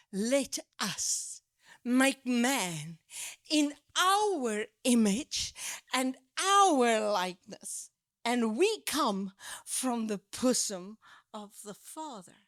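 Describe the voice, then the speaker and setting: South African woman preacher, high voice, slow deliberate delivery, emphatic, dry close sound. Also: dry recording. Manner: animated, emphatic, drawn-out stresses. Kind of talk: sermon teaching.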